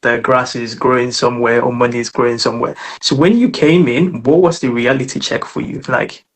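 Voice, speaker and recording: A male host with a husky, slightly breathy voice asks his guest questions in a relaxed, encouraging way. He pads his speech with fillers and often circles back to rephrase a question. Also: medium pitch, a little fast. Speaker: interview host. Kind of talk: casual interview conversation.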